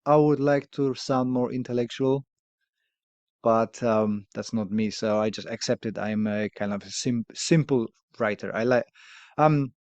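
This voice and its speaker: A man from Poland with a Polish accent, speaking English as a second language, hosting a podcast in a candid, hesitant way with fillers and soft laughs. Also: medium pitch, a little slow, no echo. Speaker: podcast host. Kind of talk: candid casual conversation.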